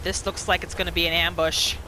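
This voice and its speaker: A woman hosting a gaming video, giving casual playthrough commentary in an expressive, exclamatory way. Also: on the low side, a little fast, background noise. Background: game music.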